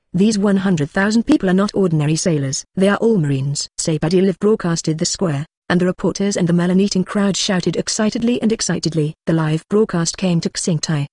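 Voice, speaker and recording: A text-to-speech narrator reading a web novel audiobook in a steady, uninflected way, even speaking punctuation marks aloud. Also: mid-range voice, no room sound, clean studio sound.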